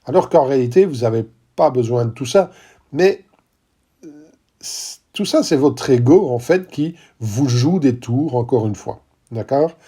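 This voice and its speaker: Husky, mellow French-speaking podcast coach. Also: male voice, medium pitch, expressive, a little slow. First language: not English. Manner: emphatic, repeats key phrases, addresses listeners with "d'accord?". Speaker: podcast host and business coach. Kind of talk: podcast monologue.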